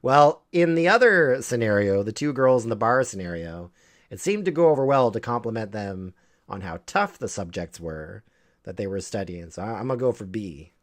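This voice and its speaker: Lively male streamer reading game choices. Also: fairly low pitch, moderate pace. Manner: reads options aloud, jokes, sarcastic. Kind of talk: live game commentary.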